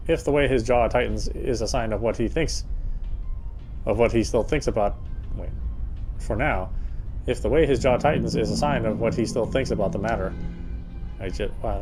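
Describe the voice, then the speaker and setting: A man's voice: on the low side, darkish tone. A man reading visual novel narration aloud expressively, with casual asides.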